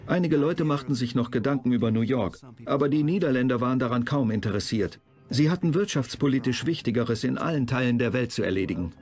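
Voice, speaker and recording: Male history commentator over soft music. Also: medium pitch, lively intonation, fast. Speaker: documentary commentator.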